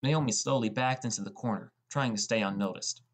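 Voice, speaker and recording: A man's voice: lowish voice, fairly quick. A man playing a sullen, defensive teenage boy who narrates his own story in a scripted audiobook. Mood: narrating.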